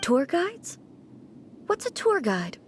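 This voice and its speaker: Aloof immortal ruler, high-pitched and dignified. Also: female voice, huge pitch swings, very noisy, full character voice. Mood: curious. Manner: formal, measured, dignified. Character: composed, aloof immortal female ruler, out of touch. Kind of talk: video game voice acting.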